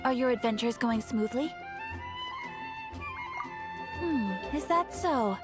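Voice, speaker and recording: A woman's voice: fairly high pitch, lively intonation, slow pace, loud music behind the voice. A woman playing a polite, helpful young guild receptionist in a video game, formal and encouraging. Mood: welcoming. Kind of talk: scripted video game dialogue.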